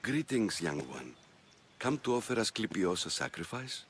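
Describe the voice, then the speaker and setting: A timid old Greek priest. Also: male voice, fairly low pitch, big pitch swings, noisy background. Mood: feigned politeness. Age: older. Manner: hesitant, halting, secretive. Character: timid, pious old Greek temple priest, nervous and evasive. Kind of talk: video game dramatic dialogue.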